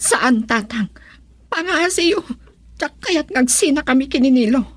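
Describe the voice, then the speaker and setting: A woman's voice: sits high, animated, unhurried, soft background music. An actress playing a troubled, dutiful young wife and mother with a Filipino accent, earnest and pleading, in a radio drama. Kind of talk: Ilocano radio drama acting.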